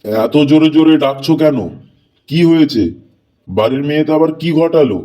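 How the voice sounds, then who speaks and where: A man's voice: fairly high pitch, brisk, mellow, close to an everyday voice. A man playing a loving, reproachful middle-aged village father in a cartoon drama, dramatic and heartfelt. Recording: music clearly behind the voice.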